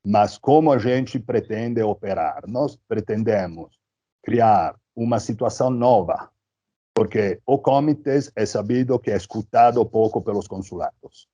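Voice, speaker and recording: A man's voice: lowish voice, unhurried, dark tone, no echo, muffled recording. A man from Italy with an Italian accent, a native Italian speaker, explaining things methodically as he answers an interview. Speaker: candidate for a community committee, interviewee.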